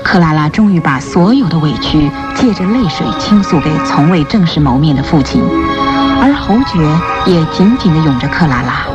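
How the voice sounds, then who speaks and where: A woman giving dramatic scripted narration for a radio drama. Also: sits low. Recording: dull radio sound under loud music.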